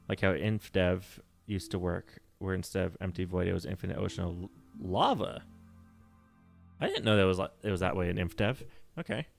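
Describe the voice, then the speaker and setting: Low-voiced nerdy male streamer. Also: vocal fry. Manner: rambling, self-correcting, nerdy, laughs often. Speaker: livestreamer. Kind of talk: casual livestream chat while playing.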